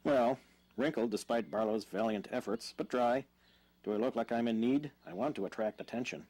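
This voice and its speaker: An actor playing an earnest, polite young husband in an audio drama, slightly formal and easily exasperated. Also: male voice, mid-range voice, normal speed, a little mellow, lightly acted. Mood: light, affable. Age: young adult.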